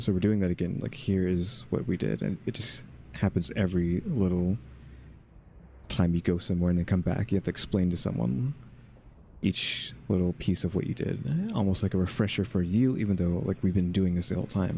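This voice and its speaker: A man's voice: low-pitched, a little fast, vocal fry, mellow, a little hiss or hum. A man giving casual, chill commentary on a video game, rambling and musing aloud. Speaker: gaming video host.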